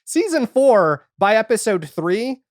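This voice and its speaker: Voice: medium pitch, big pitch swings, slow pace, dry recording, studio quality. A man chatting casually as a podcast guest, rambling and retelling things with quoted reactions. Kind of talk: casual podcast chat about a TV series.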